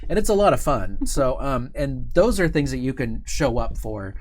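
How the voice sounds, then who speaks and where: A man's voice: mid-range voice, sing-song, normal speed, a little mellow, a little hiss or hum. A man hosting a livestream in a friendly, informal, enthusiastic way, chatting casually. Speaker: host of a company livestream. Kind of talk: casual chat, hosting a talk show.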